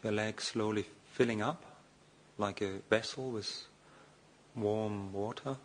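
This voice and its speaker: Male, meditation guide, fairly low pitch, halting step-by-step instruction with generous pauses, slight background noise. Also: steady tone. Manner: slow, hesitant delivery with long pauses. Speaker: meditation teacher, Buddhist monk.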